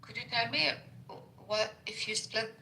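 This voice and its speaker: A woman's voice: fairly high pitch, measured pace, gravelly, bright, forceful tone, roomy sound, a little hiss or hum, rough recording. A woman in a casual debate, asking probing and sometimes sarcastic questions.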